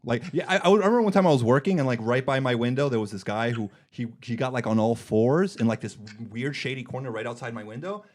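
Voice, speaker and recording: A man's voice: mid-range voice, quick pace, hardly any pauses. Wry man hosting a comedy podcast. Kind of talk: joking casual interview.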